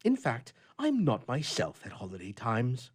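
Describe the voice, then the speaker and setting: A man's voice: mid-range voice, huge pitch swings, a little slow, husky, heavily acted. A man voicing an irritable, lonely bachelor in a storybook reading, with emphatic, indignant, old-fashioned oratory. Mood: gloomy indignation.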